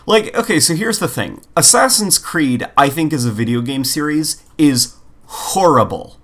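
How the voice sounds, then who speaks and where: A man's voice: a little high, animated, normal speed, fairly bright tone. A man co-hosting a casual podcast, chatting in an opinionated, emphatic way and repeating himself as he goes. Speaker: podcast co-host. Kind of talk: casual opinionated podcast chat about games.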